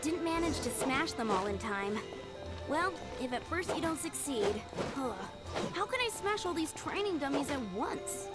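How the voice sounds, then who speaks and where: A woman's voice: sits high, lively intonation, normal speed. A woman voicing an eager, earnest young girl who trains to be a knight in a video game. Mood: frustrated, determined. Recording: loud game music behind.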